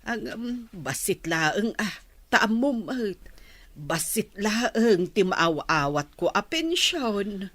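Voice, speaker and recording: A gentle old mother in a radio drama, speaking very slowly in a hesitant, caring way. The sound is close and dry. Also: mid-range voice, big pitch swings, no room sound, exaggerated voice. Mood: concerned, gentle. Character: caring elderly pensioner mother of a grown son. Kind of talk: radio drama acting in dialogue.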